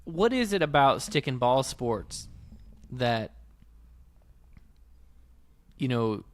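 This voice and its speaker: A man with a medium-pitched voice talks as a podcast co-host. He debates in a casual, questioning manner, often halting and rewording his point as he goes. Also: big pitch swings. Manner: questioning, halting, rephrases as he argues. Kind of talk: casual sports business debate.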